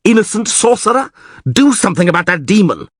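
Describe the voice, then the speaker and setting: A male narrator puts on a blustering fantasy town mayor, bossy and exasperated. The pitch often jumps high and swings widely, in a dry storybook recording. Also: wildly swooping pitch, moderate pace, fairly bright tone, breathy edge, no room sound, heavily acted. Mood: panicked fury.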